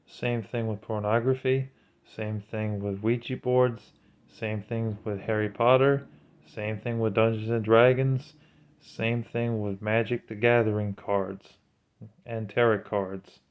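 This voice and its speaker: A man with a fairly low voice talks in an informal, sermon-like monologue. He sounds earnest and admonishing, like a religious vlogger cautioning his viewers. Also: level tone, takes it slowly, clean tone. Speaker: vlogger giving religious commentary.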